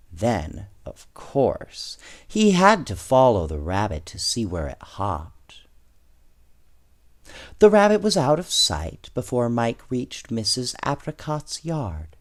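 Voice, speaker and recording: A man reading children's storybooks aloud in an expressive, measured way, with casual asides to his young listeners. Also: on the low side. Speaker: bedtime story reader, host.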